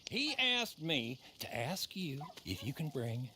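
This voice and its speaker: An actor playing a sly, folksy, clapped-out old drifter with an American drawl in a Western game cutscene. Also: male voice, medium pitch, very animated, slow pace, pushed and bright, a little hiss or hum, full character voice. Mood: sly, coaxing. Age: older. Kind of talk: video game cutscene acting.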